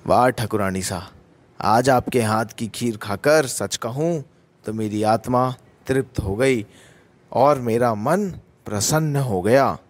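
Man acting as slow-spoken devout wandering holy man. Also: mid-range voice, lightly acted. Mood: contented, blessing. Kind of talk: radio drama acting.

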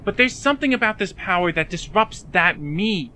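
A man playing a frightened, despairing young man in an emotional fan-dub drama, confiding haltingly and pleading. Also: high-pitched, lively intonation, bright, forceful tone, a little hiss or hum. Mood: agitated, scared.

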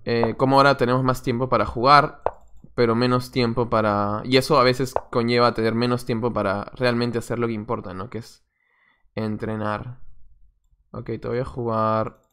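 A man's voice: medium pitch, little pitch movement, moderate pace, fairly bright tone, clean tone. A young man, a chess coach, commenting on his own game as he plays it live, muttering moves and chatting to viewers from a small room at a desk webcam. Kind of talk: live-stream commentary while playing.

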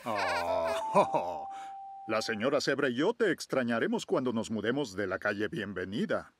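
A man playing a friendly, gentle older zebra neighbour in a children's cartoon, unhurried and chuckling. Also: on the low side, sing-song, very noisy. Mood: warm, wistful. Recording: music behind the voice.

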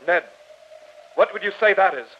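A man's voice: high voice, normal speed, noisy background, lightly acted. Man acting a professor, earnest, formal, theatrical, old-time radio sea drama, dull old recording. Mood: urgent. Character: earnest male professor, narrator of a sea adventure. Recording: muffled old radio recording, music underneath.

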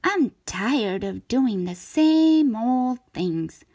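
A woman voicing a restless cartoon shape from a children's storybook, with emphatic, drawn-out words. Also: on the high side, animated, warm tone, heavily acted. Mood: grumbling, bored. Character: restless, dissatisfied cartoon shape, eager for more.

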